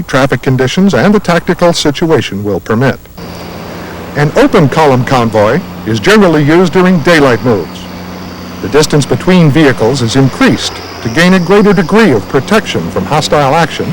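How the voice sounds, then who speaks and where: An American man reads scripted instructional narration for a military training film in a measured, formal manner. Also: on the high side, a little hiss or hum, rough recording.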